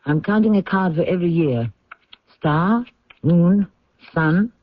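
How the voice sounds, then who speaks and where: A woman's voice: lowish voice, slow, dry recording. An actress playing a proud, superstitious married noblewoman in a period radio drama, dramatic and defiant. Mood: uneasy, superstitious. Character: proud, fatalistic married noblewoman reading fortune cards. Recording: dull vintage radio recording.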